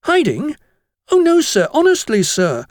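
A man voicing an excitable, innocent eleven-year-old schoolboy with eager, earnest delivery in a storybook. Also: high voice, quick pace, no room sound, clean studio sound, voice pushed up. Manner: breathless eagerness, protesting earnestly.

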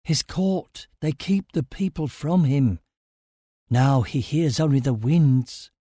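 A man voicing a fearful, secretive man in a story, giving halting warnings in a hushed, breathy voice. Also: on the high side, animated, no room sound, studio quality. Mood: helpless, wary. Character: frightened, secretive male castle dweller warning a stranger.

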